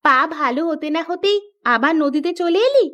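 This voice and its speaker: A woman voicing a sassy young village girl in a children's cartoon, mocking and quick-tempered. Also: high voice, lively intonation, a bit bright. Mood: teasing, scornful.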